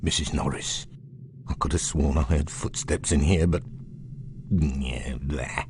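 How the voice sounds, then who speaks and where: Creaky, spiteful old English caretaker. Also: male voice, a bass voice, a little slow, noisy background. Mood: sneaky muttering. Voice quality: gravelly, creaky. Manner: muttering to his cat, breaking into shrieks. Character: spiteful, creepy old caretaker hunting intruders, doting on his cat. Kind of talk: video game villain acting. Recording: loud music behind the voice.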